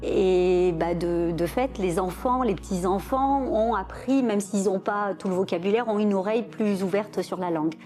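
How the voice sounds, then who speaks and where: A woman's voice: mid-range voice, normal speed, few pauses, music in the background. A woman in a documentary interview, recalling her family's history in a conversational way. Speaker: interviewee, child of immigrants.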